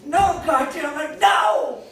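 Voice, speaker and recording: A woman playing a brash, stubborn mother in a staged play reading, loud and theatrical. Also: high voice, animated, takes it slowly, heavily acted. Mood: frantic. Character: Maggie, drunk, rambling, brash mother, emotional and stubborn. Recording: echoey room, distant mic, faint noise.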